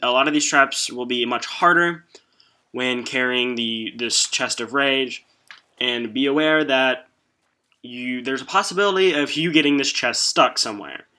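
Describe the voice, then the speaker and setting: A man giving a casual, somewhat halting walkthrough commentary as the host of a video game guide. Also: mid-range voice, bright, forceful tone.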